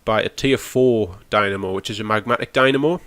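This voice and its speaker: A man's voice: lowish voice, fairly quick, a bit bright, no echo. Nasal man with a cold. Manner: rambling, explains as he goes, frequent ums. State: has a cold, nasal and snotty. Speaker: gaming channel host. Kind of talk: casual gameplay walkthrough.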